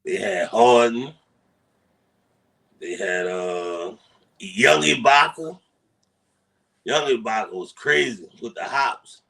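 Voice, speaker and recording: A man of middle pitch co-hosting a casual basketball podcast. He gives blunt takes, swears freely and laughs easily. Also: slow pace, gravelly, pauses often, pushed and bright. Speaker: podcast co-host. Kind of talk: casual sports debate chat.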